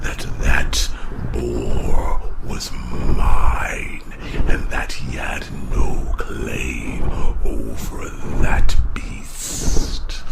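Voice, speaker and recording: A man voicing a huge, proud, fierce dragon in a fantasy roleplay, speaking gravely to a human in halting, growling, gravelly phrases. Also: rumbling low, full character voice. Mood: proud, brooding. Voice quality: gravelly, growling, breathy rasp. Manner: slow, grave, halting dramatic delivery. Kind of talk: ASMR fantasy roleplay monologue. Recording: noisy, steady rushing ambience behind voice.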